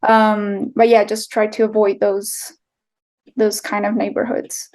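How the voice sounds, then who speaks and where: A woman's voice: medium pitch, flat delivery, measured pace, darkish tone. A young woman from Colombia with a Colombian accent, answering interview questions in a casual, chatty way full of fillers and restarts. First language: Spanish. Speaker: guest, writer and filmmaker.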